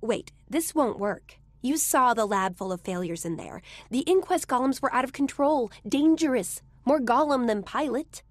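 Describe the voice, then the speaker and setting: Casting: small, confident gnome genius in a game, higher voice, blunt and sardonic, technical delivery. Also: female voice, lively intonation, normal speed, hardly any pauses, no echo. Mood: earnest, blunt. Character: sharp-witted female gnome-like inventor, confident and sardonic. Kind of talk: video game cutscene acting.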